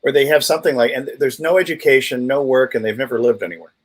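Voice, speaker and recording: A man with an American accent and a husky, slightly rough voice at a middling pitch. He chats casually as a co-host, wry and jokey, and likes to play with words. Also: fast. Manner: wry, jokey, fond of wordplay. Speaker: livestream co-host. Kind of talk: casual chat.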